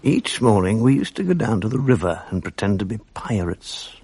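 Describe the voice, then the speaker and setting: An actor playing a wistful man who narrates memories of his boyhood in a measured, reflective radio drama style. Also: fairly low pitch, big pitch swings, a little mellow, slightly rough, exaggerated voice. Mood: nostalgic. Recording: noisy archive radio drama recording.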